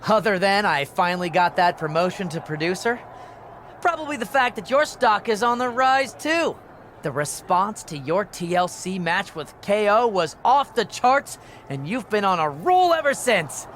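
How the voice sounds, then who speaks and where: High-pitched eager TV producer. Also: male voice, very animated, normal speed, a bit bright, a little hiss or hum. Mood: upbeat. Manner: chipper, emphatic. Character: eager, chirpy male TV wrestling producer, upbeat company man.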